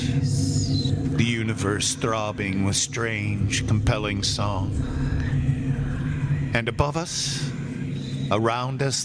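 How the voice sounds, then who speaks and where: A man's voice: mid-range voice, heavy background noise, natural voice. An actor playing a man who narrates a ghostly journey in a lyrical voice in a stage radio play. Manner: slow, lyrical narration turning to frightened pleading. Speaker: narrator and lead character.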